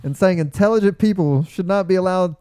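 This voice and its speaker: Mellow-voiced man, teasing podcast co-host. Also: high-pitched, brisk, clear, resonant tone, no room sound. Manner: teasing, challenging. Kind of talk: casual bantering chat.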